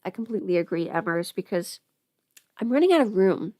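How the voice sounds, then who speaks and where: A woman with a mid-pitched voice talks casually to her livestream. She rambles and thinks aloud in an informal way, and the sound is close and very dry, with hardly any room to it. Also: sing-song, brisk, dark tone, no echo. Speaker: livestream host, audio creator.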